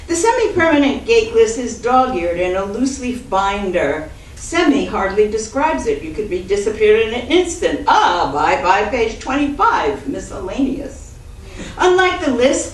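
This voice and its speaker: An older woman with a big voice reads her poems at a book launch, slow and deliberate between chatty asides. She is heard from a distance in an echoey room. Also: medium pitch, a little hiss or hum. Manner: chatty asides with laughs between measured poem reading. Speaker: poet and writing teacher. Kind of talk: poetry reading at a book launch. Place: event room with audience. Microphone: distant mic. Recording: roomy echo, voice from far off.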